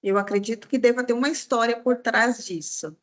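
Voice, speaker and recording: A woman hosts a podcast interview with a somewhat high voice. She talks in a welcoming, conversational way and asks open questions. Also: fairly even intonation, a little fast. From São Paulo, Brazil. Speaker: podcast host.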